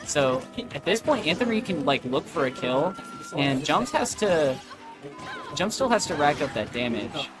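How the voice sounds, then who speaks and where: A man's voice: fairly high pitch, big pitch swings, a little slow. Slangy man commentating over game music. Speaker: esports commentator. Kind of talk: casual live play-by-play commentary.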